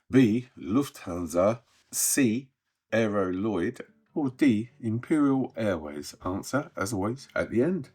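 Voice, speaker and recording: A man hosting a hobby news update, chatting casually and sounding croaky as he gets over an illness. He often trails off softly at the ends of sentences. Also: on the low side. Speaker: host.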